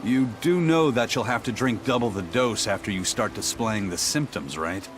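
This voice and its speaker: Um ator interpretando um capitão pirata severo e orgulhoso, de fala firme e professoral. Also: male voice, lowish voice, lively intonation, normal speed, noisy background, natural voice. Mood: stern. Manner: stern, lecturing. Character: stern proud male pirate captain. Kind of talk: game dub acting.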